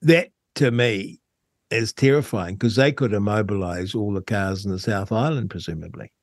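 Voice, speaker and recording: A man with a New Zealand accent talking in a casual radio discussion as host, with a hesitant, halting delivery. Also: fairly low pitch, no room sound, studio quality. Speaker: talk show host.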